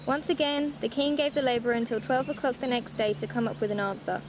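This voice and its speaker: A friendly bedtime storyteller, played by a woman, with a fairly high voice in an echoey room. She is the teasing elder relative, reading fairy tales over faint noise. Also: fast talker, lightly acted. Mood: storytelling. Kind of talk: bedtime storytelling in amateur drama. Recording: roomy echo, faint background noise.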